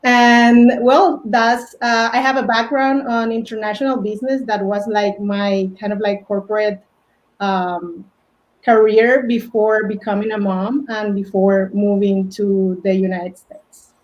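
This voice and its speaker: Slow halting Colombian woman. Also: mid-range voice, steady tone. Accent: Colombian Spanish. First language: Spanish. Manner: halting, many fillers and restarts. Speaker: guest, fashion stylist. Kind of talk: casual interview chat. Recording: dull lo-fi audio.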